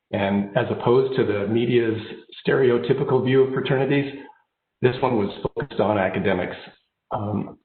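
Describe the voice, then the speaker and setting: Low-voiced American man with a cold, phone-like sound. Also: a little hiss or hum. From born in Japan, raised overseas, then United States. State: slight head cold. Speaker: keynote speaker, engineering executive. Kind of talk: remote keynote speech, personal and informal. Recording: phone-like narrow band, roomy echo.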